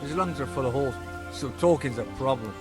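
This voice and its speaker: An actor playing a sarcastic, evasive charcoal burner in a video game, defensive and easily rattled. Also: male voice, medium pitch, brisk, over music, close to an everyday voice. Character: cocky defensive male charcoal burner, adult.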